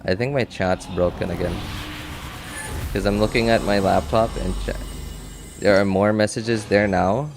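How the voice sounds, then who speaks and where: Low-voiced man thinking aloud. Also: fairly even intonation, creaky, noisy background. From Philippines. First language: Cebuano. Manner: halting, thinking aloud with many fillers and restarts. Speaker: game streamer. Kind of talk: casual live-stream chat.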